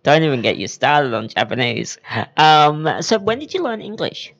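A podcast host chatting casually with a guest, rambling and restarting sentences. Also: moderate pace. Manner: rambling, restarts, lively pitch. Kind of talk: casual chat. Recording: dull lo-fi podcast audio.

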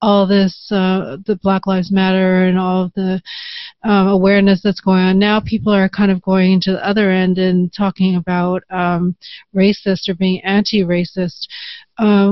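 A woman hosts an interview over a video call, putting curious, measured questions to her guests. Her voice sounds muffled and lo-fi. The audio is dry, with no room echo. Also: mid-range voice. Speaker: host.

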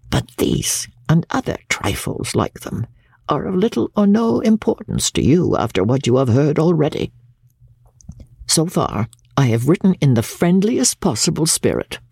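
Woman acting a husky, haughty, low-voiced older widow. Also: darkish tone, dry recording, studio quality, full character voice. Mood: cold, dismissive. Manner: stern, precise, formal. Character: haughty, cold, self-righteous middle-aged widow writing a letter. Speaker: audiobook reader. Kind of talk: dramatic classic literature reading.

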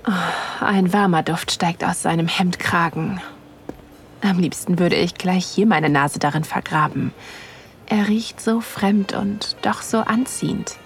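A woman playing an excited, aroused wife who narrates an erotic audio drama in an intimate, breathy manner. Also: on the low side, unhurried. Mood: nervous, excited.

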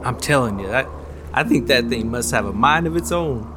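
A man's voice: medium pitch, lively intonation, moderate pace, noisy background, close to an everyday voice. A man playing a folksy, chatty, nosy small-town fisherman in an audio drama, rambling on good-naturedly.

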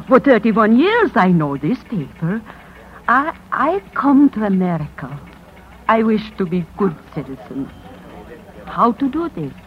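Older immigrant mother, humble yet brave, halting speech, old radio drama with dull, slightly noisy sound. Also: mid-range voice. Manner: halting, plain, broken English. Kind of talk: 1950s radio drama acting. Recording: dull, faintly noisy vintage radio recording.

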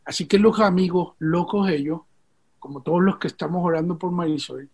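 A male host speaks in an earnest, heartfelt way as he retells a story. His voice sits high for a man and has a husky, breathy grain. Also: fairly quick, darkish tone. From Venezuela, raised in Puerto Rico. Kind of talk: casual conversation with storytelling.

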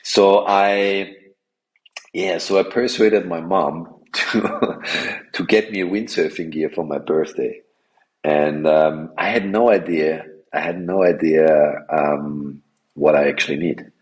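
A man's voice: rumbling low, steady tone, slow pace, a little mellow, rough recording. A man in his forties with an Austrian accent, a guest on a podcast interview, talking casually with many fillers and restarts. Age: 40s. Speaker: guest, wingfoil tour director.

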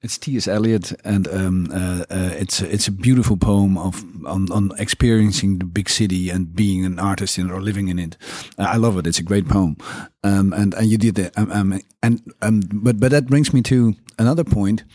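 A man hosting a panel, voice low and mellow with a husky edge, recorded dry and close. He speaks hesitantly and enthusiastically, filling pauses with ums. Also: steady tone, dry recording. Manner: hesitant, enthusiastic, many fillers. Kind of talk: panel discussion.

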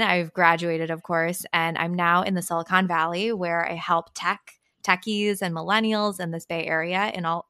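A woman from Northern California speaks as a dietitian guest on a podcast. Her voice sits a little low and sounds dry and close. She explains things in an earnest, steady way. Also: no room sound. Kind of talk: podcast interview.

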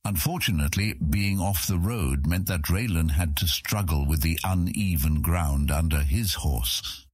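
A man reading fantasy fiction as a dramatic audiobook narrator with a husky voice. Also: low voice, a little slow, hardly any pauses, dry recording.

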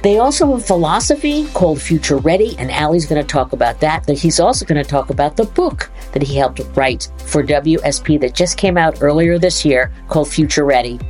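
A woman hosting a podcast, reading a scripted introduction and then chatting, who speaks expressively and slows down to stress key words. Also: deep voice, expressive, darkish tone, faint music behind. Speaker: podcast host.

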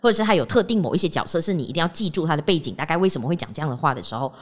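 A woman's voice: low-pitched, lively intonation, racing. A woman with a Malaysian accent, hosting a casual behind-the-scenes podcast chat. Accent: Malaysian Chinese-language. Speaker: podcast host.

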